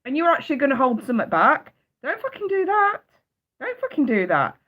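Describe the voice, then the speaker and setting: A woman's voice: high-pitched, sing-song, brisk, highs cut off. Blunt, cussing woman with a cold. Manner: blunt, swears freely, fired up. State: stuffy nose from a cold. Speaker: tarot reader. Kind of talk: live-stream tarot reading.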